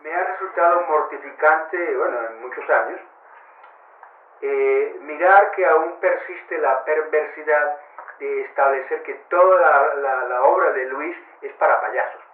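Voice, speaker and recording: A man's voice: on the high side, measured pace. A man giving his opinion as an interviewee, on a phone-like, echoing recording. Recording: phone-like narrow band, roomy echo.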